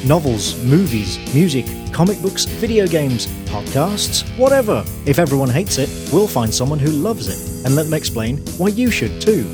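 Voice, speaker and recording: A man hosting a podcast, reading a brisk scripted intro and then interviewing his guest. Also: on the high side, very animated. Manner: brisk, articulate presenter. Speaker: podcast host. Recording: breathy voice over faint guitar music.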